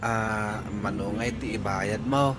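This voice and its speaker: A man's voice: fairly low pitch, measured pace, noisy background, lightly acted. A man playing a hapless, whiny tricycle-driving husband in Ilocano-accented radio comedy drama. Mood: grumbling.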